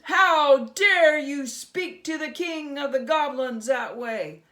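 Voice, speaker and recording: A woman voicing a menacing, imperious goblin king in a storybook, with slow, drawn-out theatrical shouting. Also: sits high, expressive, voice pushed up, heavily acted. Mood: outraged.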